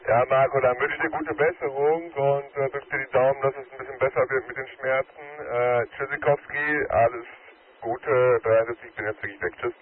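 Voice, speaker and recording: A man's voice: mid-range voice, fairly even intonation, normal speed, a crowd in the background. A man chatting casually over amateur radio from his car while driving, friendly and with joking sign-offs. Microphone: mobile radio transceiver. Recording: narrow radio band with static and road noise.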